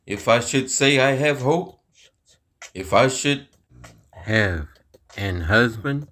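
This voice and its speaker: A man's voice: mid-range voice, a bit bright. Man voicing a pleading, grieving old widow. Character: old widowed mother-in-law, tender and grieving.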